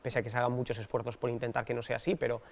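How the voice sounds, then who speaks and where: A man in his thirties, a political candidate, giving thoughtful, hesitant interview answers with many fillers and restarts on a dark studio set. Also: medium pitch, flat delivery, racing, gravelly, long reverb tail. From Basque Country, Spain.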